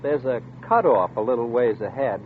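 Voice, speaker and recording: A man's voice: mid-range voice, moderate pace, slight background noise, natural voice. An actor playing a nervous man who narrates, in tense, uneasy 1940s radio acting. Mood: distant. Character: nervous young man haunted by déjà vu. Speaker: narrator and lead character. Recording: tinny old radio broadcast with music.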